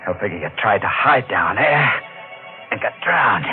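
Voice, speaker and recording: A man playing a guilty, murderous deep-sea diver and sailor in an old-time radio drama. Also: lively intonation, normal speed, over loud music, lightly acted. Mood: cold, menacing. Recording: noisy, narrow-band vintage radio recording.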